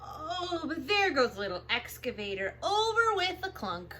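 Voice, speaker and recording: A woman reading aloud to young children as a storytime host, expressive and sing-song, drawing out the sound words. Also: high-pitched, measured pace, slight background noise. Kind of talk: animated picture-book read-aloud for young children.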